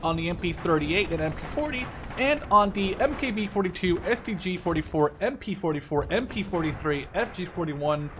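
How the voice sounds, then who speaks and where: A man's voice: sits high, expressive, fairly quick, few pauses, music in the background. A man in his twenties from the Netherlands giving casual, rambling commentary while he plays a shooter game, often correcting himself as he concentrates. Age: 20s. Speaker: gameplay video creator. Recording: loud game artillery and gunfire behind voice. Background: artillery fire and gunshots from the game.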